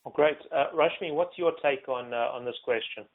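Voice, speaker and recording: A man's voice: medium pitch, normal speed. South African man hosting over a thin line. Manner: many ums and uhs, easygoing. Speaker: webinar host and moderator. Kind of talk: moderating a panel webinar. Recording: phone-like narrow audio.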